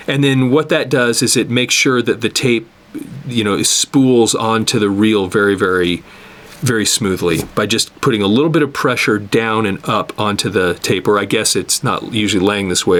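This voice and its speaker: A man giving an unscripted hands-on repair tutorial, explaining step by step in a halting way and repeating words as he works. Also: medium pitch, fairly bright tone. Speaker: instructor demonstrating cassette tape repair.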